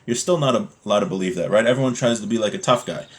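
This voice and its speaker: A man's voice: lowish voice, racing. A man giving an informal religious lesson, explaining a text in a conversational way with rhetorical check-ins as a teacher.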